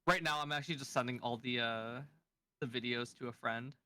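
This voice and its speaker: A man chatting casually on a stream, his voice hoarse and strained after screaming at a concert, laughing and swearing freely. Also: medium pitch, fairly even intonation, brisk, sharp tone, no echo. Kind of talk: casual chat.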